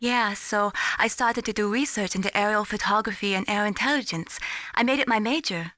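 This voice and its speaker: An actress playing an earnest, gently teasing young female radar technician in a video game. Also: mid-range voice, brisk, no room sound, close to an everyday voice. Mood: candid. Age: young adult. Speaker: mission support operator. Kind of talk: scripted video game voice acting.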